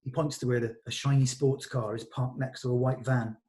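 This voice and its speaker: Medium-pitched man reading stage directions. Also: flat delivery, fairly quick, warm tone. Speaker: reader of stage directions, running the rehearsal. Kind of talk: scripted narration of screenplay directions. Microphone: video call.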